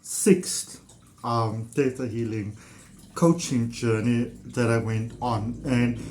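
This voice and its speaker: Casual talker: South African man, mid-range voice, warm enthusiasm, rambling delivery with frequent fillers. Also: medium pitch, steady tone, slow pace, slight background noise. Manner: enthusiastic, meandering, lots of fillers. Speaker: ThetaHealing practitioner. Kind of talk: casual personal testimonial to camera.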